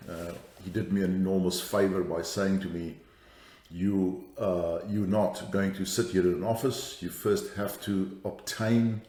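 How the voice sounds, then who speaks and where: Middle-aged South African man, very low voice, unhurried podcast guest, roomy sound. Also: mellow. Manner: thoughtful, many fillers and restarts. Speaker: guest, safety strategist. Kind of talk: podcast interview.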